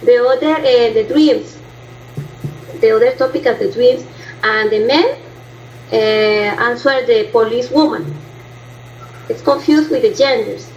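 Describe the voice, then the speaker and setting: A woman student speaking in a class presentation, hesitant and halting, with many fillers and restarts in learner's English. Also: on the high side, a little mellow, a little hiss or hum. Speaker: language student. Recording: dull lo-fi class recording.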